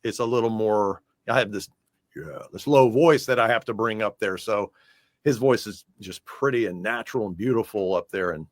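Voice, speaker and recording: A man's voice: lowish voice, moderate pace, no room sound, clean studio sound. An American man chatting casually in a music interview as the guest, talking in a loose, rambling way.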